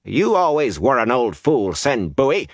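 A man voicing a big, bossy village wise woman with a forceful, scolding manner in an audiobook. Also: mid-range voice, very animated, measured pace, dry recording, voice pushed up. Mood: scornful.

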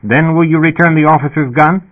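A pushy, irritable police dispatcher on an intercom, acted by a male audiobook narrator. The voice is pitched well up and loud, reasoning impatiently. It sounds thin and narrow, like radio. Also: fairly even intonation, a little fast, lightly acted. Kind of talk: audiobook character voice.

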